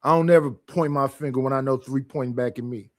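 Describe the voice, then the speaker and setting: A man's voice: medium pitch, steady tone, quick pace, a little mellow, no room sound, studio quality. A man hosting a livestream, talking loosely and bluntly in a casual, conversational way. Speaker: livestream host.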